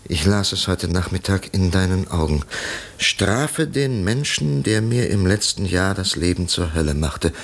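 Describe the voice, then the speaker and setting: An actor playing a troubled, stubborn wealthy gentleman in a halting, emotional 1950s radio drama style. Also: sits low, lively intonation, exaggerated voice. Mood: shaken, grieving. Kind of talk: 1950s German radio play acting. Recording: noisy vintage 1950s radio recording.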